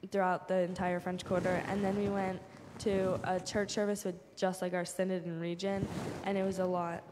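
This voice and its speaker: A woman's voice: on the low side, level tone, moderate pace. Young woman, chatty, filler-heavy, echoing church, faint background hum. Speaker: youth trip participant. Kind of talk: casual report. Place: large church sanctuary. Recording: reverberant hall, faint ambience.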